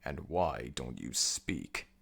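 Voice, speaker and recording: Ancient jackal death god, super deep, slow and imperious, brooding, voice swings around, asmr roleplay. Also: male voice, sing-song, a bit bright, exaggerated voice. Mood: pondering, concerned. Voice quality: very deep, gravelly. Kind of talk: ASMR audio roleplay acting.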